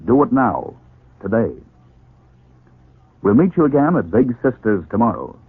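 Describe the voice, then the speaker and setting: Man, 1940s announcer, deliberate, formal, thin old broadcast sound, echoey. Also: mid-range voice, very animated, husky. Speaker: announcer and narrator. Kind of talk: 1940s radio announcing, scripted narration. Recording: tinny, echoey 1940s radio recording.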